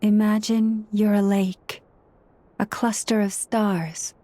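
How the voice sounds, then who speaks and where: Game casting: an actress as gentle young priestess, solemn and earnest, medium-pitched, soft measured delivery. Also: female voice, flat delivery, dry recording, close to an everyday voice. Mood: hesitant, caring. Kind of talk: video game voice acting.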